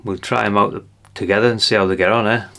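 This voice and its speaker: A man's voice: lowish voice, fairly even intonation, quick pace, vocal fry, sharp tone. An older man giving a casual hands-on product demonstration, chatty and unscripted, thinking aloud as he goes. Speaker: presenter of a radio gadget review channel.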